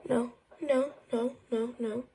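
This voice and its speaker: Congested woman YouTuber, very husky and mellow tone, excitable gameplay chatter. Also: fairly high pitch, takes it slowly. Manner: excitable, with frequent whispered asides. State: congested, croaky voice. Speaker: gaming YouTuber. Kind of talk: casual gameplay commentary.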